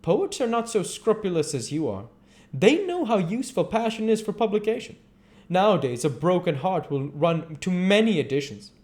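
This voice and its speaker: A worldly, cynical gentleman as a male reader acts him in a storybook reading. His voice sits somewhat high with a mellow edge, and he delivers epigrams with pompous, teasing polish. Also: sing-song, normal speed. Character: witty, cynical gentleman friend, languid aesthete.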